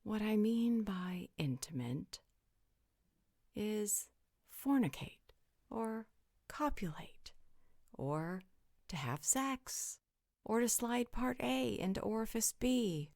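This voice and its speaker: A woman's voice: mid-range voice, expressive, takes it slowly, gravelly, pauses often, a little mellow, dry recording, clean studio sound. A woman playing a frank, teasing, worldly supernatural guardian who speaks bluntly in a roleplay audio drama.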